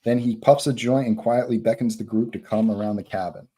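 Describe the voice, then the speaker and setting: A man with a fairly low voice narrates a screenplay at a live read, giving scene directions between lines of dialogue. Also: steady tone, brisk, warm tone, breathy edge. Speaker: narrator reading stage directions.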